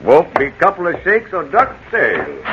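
A man's voice: on the high side, wildly swooping pitch, normal speed, noisy background. A man playing a sly, teasing rustic handyman, on an old radio recording. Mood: cheery. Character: sly rustic male handyman, middle-aged. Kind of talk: radio comedy acting. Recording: dull lo-fi vintage radio recording.

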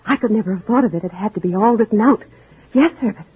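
An actress playing a mousy, downtrodden wife who calmly plans to murder her husband, in old-time radio drama style, speaking quietly and chillingly and breaking into sobs. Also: mid-range voice, fast talker, slightly rough. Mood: calm menacing. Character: timid downtrodden wife turned cold poisoner. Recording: muffled lo-fi old radio recording.

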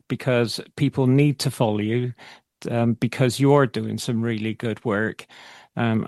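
A man hosting a radio interview, earnest and thoughtful, his voice a bit croaky. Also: medium pitch, darkish tone, no echo, studio quality. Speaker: radio show host.

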